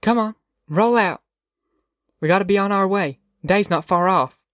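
A man's voice: sits high, moderate pace, fairly bright tone, no room sound, studio quality, pitched above the performer's own voice. A man voicing a weary, firm farm mother with a curt, folksy manner in an audiobook. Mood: brisk.

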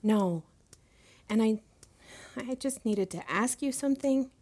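A woman's voice: on the high side, lively intonation, takes it slowly, a little mellow. A woman playing an anxious protegee eager to please in an audio drama, hesitant, earnest and apologetic. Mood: nervous.

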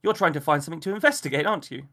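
A man doing a brisk, genial father. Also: a little high, bright, forceful tone, pitched above the performer's own voice. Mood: teasing.

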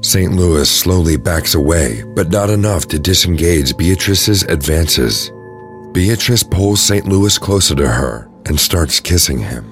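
Male narrator, bass register, scripted audio drama storytelling over soft music. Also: animated, unhurried.

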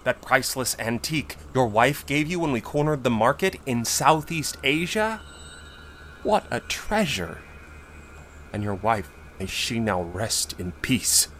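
Man acting scheming court secretary, measured, insinuating, even middle pitch. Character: cunning male court secretary of finance. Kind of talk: audio drama acting.

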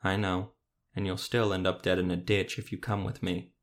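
A man voicing a weary, gruff monster hunter who speaks low and gently. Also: flat delivery, moderate pace, close to an everyday voice. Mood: gentle.

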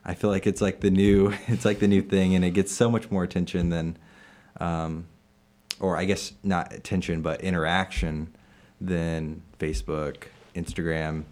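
A man in his twenties in a casual interview, answering in halting phrases with many fillers and restarts, laughing now and then. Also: sits low, little pitch movement, darkish tone. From Illinois, USA. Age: 20s. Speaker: interviewed staff member.

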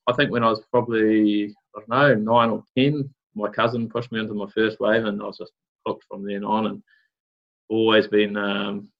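A man's voice: lowish voice, flat delivery, normal speed, lo-fi sound. A man from New Zealand with a New Zealand accent, chatting casually as an interview guest in a laid-back, rambling way full of fillers and slang. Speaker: guest, surf business founder.

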